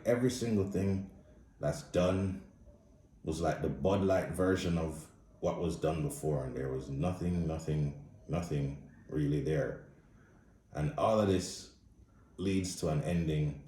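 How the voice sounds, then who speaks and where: A man's voice: a bass voice, warm tone, echoey room, distant mic, slight background noise. A man with a Jamaican accent giving a blunt, profane, casual movie review. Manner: blunt, profane, speaks straight with no filter. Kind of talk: casual opinionated review monologue.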